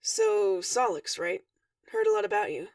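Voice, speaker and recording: Girl doing a cool cocky troll dude in a story, slangy n nonchalant, creaky. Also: a little high, huge pitch swings, a little slow, no echo, clean studio sound, recorded from a distance, heavily acted. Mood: cool, teasing. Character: cool, cocky, laid-back young male troll.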